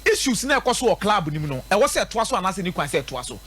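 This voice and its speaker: The high, raspy Ghanaian man you would hear on a sports radio show, emphatically breaking down a football club's form, his voice leaping up and down. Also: very animated, fast talker, pushed and bright. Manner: emphatic, rhetorical, repeats points for effect. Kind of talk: live football commentary and analysis, mixing local language and English.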